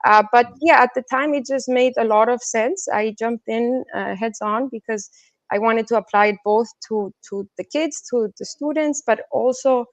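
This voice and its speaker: A woman's voice: fairly high pitch, moderate pace, dry recording, highs cut off. Woman, dry close sound, interview guest. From Colombia, also raised in the States and China. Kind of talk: casual conversational interview answers.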